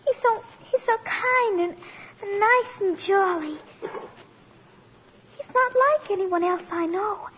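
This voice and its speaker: A woman's voice: very high-pitched, slow pace, pauses often. A woman playing an earnest, innocent, eager young child in a 1940s radio drama. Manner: innocent, sincere. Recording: very noisy, muffled 1940s radio.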